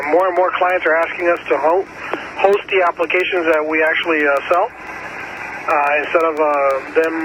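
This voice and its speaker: A man in casual technical conversation over two-way radio, informal and slangy, speaking haltingly as a ham operator. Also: on the high side, lo-fi sound. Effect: two-way radio transmission. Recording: noisy ham radio repeater audio.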